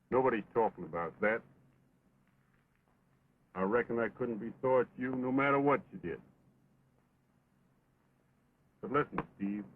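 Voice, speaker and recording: A man's voice: medium pitch, slight background noise, close to an everyday voice. Man playing an earnest cowboy in muffled old film sound. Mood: earnest, concerned. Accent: American. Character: earnest, steadfast cowboy pleading with his wayward friend. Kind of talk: early 1929 talkie western film acting.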